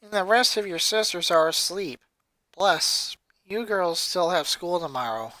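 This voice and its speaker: A woman voicing a worried, tired and gentle mother in a story reading. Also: lowish voice, lively intonation, unhurried, no echo, rough recording. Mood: weary, soothing.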